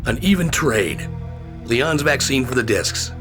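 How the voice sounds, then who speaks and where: A hard-bitten fugitive agent in a video game cutscene. He is curt and defiant, with a tired, sardonic edge and a mid-pitched voice. Music plays clearly behind him. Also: very animated, a little slow. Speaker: game character. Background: game soundtrack music.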